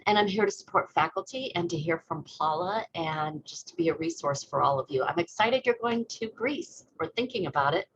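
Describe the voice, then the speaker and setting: A woman education coordinator introducing herself on a video call, warm and encouraging. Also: deep voice, highs cut off, a little hiss or hum.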